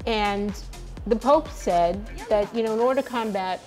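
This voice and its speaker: A woman's voice: medium pitch, measured pace, darkish tone, faint music behind. A woman in her sixties, an author talking as a guest in an interview, earnest and emphatic. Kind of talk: conversational interview.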